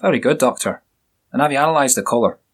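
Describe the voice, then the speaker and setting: A man voicing a big, jovial Scottish police sergeant in a one-man audio story, raised and booming above his own voice, with a husky edge. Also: animated, fast. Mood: brisk. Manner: booming, jovial, probing questions. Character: burly Scottish police sergeant, hearty and shrewd.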